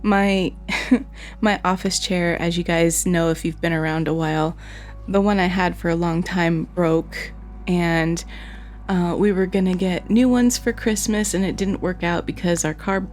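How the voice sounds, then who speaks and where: A young woman vlogging casually at home, her voice a little hoarse and sore, excitable and laughing often. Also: on the low side, measured pace. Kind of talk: casual vlog chat. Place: home, indoors.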